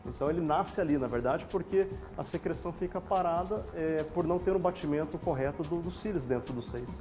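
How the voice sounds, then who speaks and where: A man with a Brazilian Portuguese accent, a doctor guest on a TV health show, explaining things calmly in plain lay terms in a studio. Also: fairly high pitch, brisk, music in the background.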